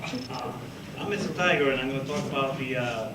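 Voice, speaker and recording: A man giving an informal student presentation in a puppet show, halting and losing his thread. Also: medium pitch. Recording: echoey room, distant mic, noisy.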